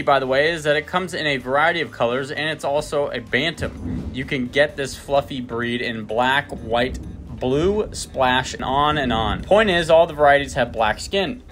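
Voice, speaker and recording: A man's voice: medium pitch, fairly bright tone. A man giving a scripted, lightly playful voice-over narration.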